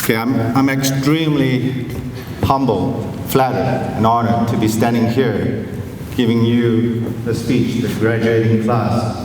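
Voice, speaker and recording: A man with a Chinese accent speaks earnestly and humbly, pausing often and adding fillers. His voice sits at a middle pitch. It rings through a large hall with a long reverb, picked up from a distance over steady background noise. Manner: earnest, gently self-deprecating, many pauses and fillers. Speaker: teacher giving a graduation speech. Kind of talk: inspirational speech to students. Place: large school assembly hall. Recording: echoey hall from far off with noise.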